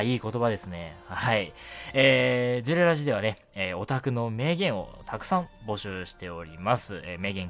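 A man hosting a casual, chatty night radio show in a relaxed, gently self-deprecating way. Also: fairly low pitch.

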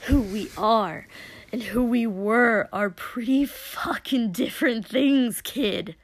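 Storybook role: erratic, taunting young man with a venomous edge, slowly paced, performed by a woman. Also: a little high, big pitch swings, heavily acted, rough recording. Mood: snapping, taunting. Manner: erratic, swinging from hissed and dreamy to sharp and snapping. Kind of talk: dramatic audiobook-style character reading.

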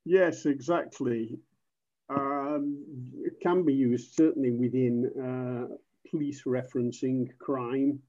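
A man with a British English accent, a native speaker giving example sentences in a measured, slightly formal way. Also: medium pitch, fairly even intonation, dark tone. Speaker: podcast guest, native speaker. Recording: muffled, slightly lo-fi sound.

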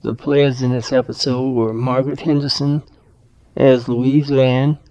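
A man's voice: mid-range voice, level tone, a little slow, dark tone, lo-fi sound. A man reading scripted narration for an audio drama, as its narrator.

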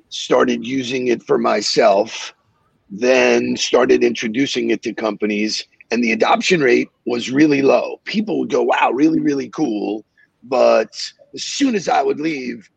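Gravelly man on dull lo-fi audio. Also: mid-range voice, no room sound. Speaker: co-host. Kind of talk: casual interview chat.

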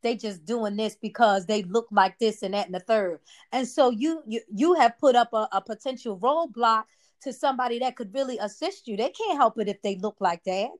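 A woman's voice: on the high side, normal speed. A woman co-hosting a casual chat, making her points emphatically and drawing responses in a preachy call-and-response way.

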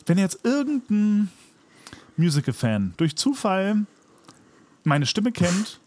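A man with a fairly high voice chats as a podcast co-host. His talk is loose and colloquial, often doubling up short phrases as he goes. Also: sing-song, slow pace, darkish tone. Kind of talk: casual chat.

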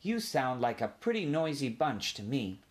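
A man's voice: medium pitch, big pitch swings, normal speed, close to an everyday voice. A man voicing a friendly young boy with an eager, plain-spoken manner, in a children's story. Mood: urgent.

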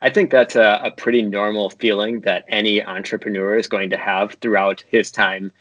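Relaxed North American man, dry sound. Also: lowish voice, normal speed, dry recording, muffled recording. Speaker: interview guest, video editor. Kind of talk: casual interview talk.

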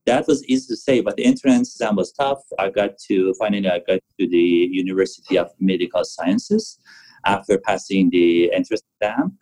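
A man in his forties from Iran, a physician answering interview questions in halting, with frequent restarts. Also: low voice, mellow, rough recording. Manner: halting, restarts often, non-native phrasing. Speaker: physician guest.

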